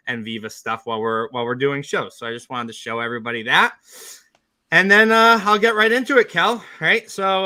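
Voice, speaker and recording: A man co-hosting a sports betting podcast, energetic and chummy as he breaks down a college basketball matchup. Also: on the high side. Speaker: podcast co-host, sports bettor.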